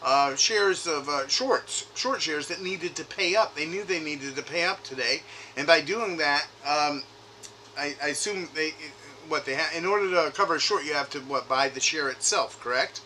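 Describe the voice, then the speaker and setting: A man's voice: on the high side, moderate pace, bright, forceful tone. A man talking informally on a phone call as a caller, insistently questioning and often rephrasing as he goes. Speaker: caller, retail investor. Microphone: makeshift recording device grabbed during call. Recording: faint noise, recorded phone call.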